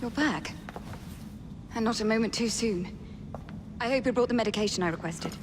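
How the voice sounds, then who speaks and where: An actress playing an earnest, firm Victorian lady nurse in a game, with an English accent and a measured, authoritative manner. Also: on the high side, natural voice. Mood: resolute. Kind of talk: video game cutscene acting. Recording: long reverb, clear background noise.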